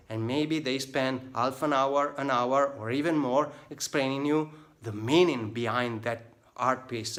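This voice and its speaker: A man's voice: medium pitch, a bit bright. A man with an Italian accent, Italian his first language, casually reviewing a book in English with many ums and uhs and opinionated asides. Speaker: tattoo vlogger reviewing a book.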